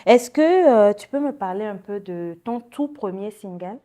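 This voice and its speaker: A woman in a mid-range voice hosts a casual interview. She asks questions in a friendly, chatty way. She often pauses with fillers as she puts her questions together. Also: mid-range voice, animated, mellow. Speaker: show host.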